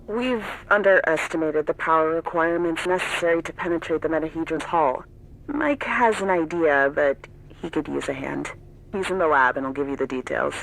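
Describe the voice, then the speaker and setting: A woman's voice: deep voice, moderate pace, fairly bright tone, poor audio. A woman playing a businesslike spaceship crew member in a video game, with a matter-of-fact delivery. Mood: businesslike, concerned. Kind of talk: cinematic video game acting.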